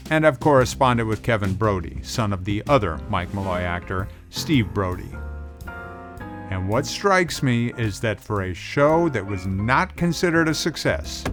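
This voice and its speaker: A man hosting a scripted video essay in a conversational style, as a film commentator. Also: fairly low pitch.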